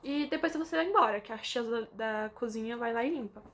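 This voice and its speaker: A woman's voice: a little high, quick pace, fairly bright tone. A young Brazilian woman with a Brazilian Portuguese accent, chatting casually to camera in an informal vlog with lots of fillers. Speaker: vlogger.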